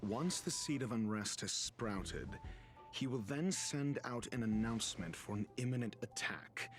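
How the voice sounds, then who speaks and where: A composed detective from a video game , played by a man, lays out his reasoning in an articulate, deliberate way. His voice sits at a middling male pitch. Music plays clearly behind him. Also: expressive, close to an everyday voice. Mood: grave, emphatic. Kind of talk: dramatic video game cutscene monologue. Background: background music.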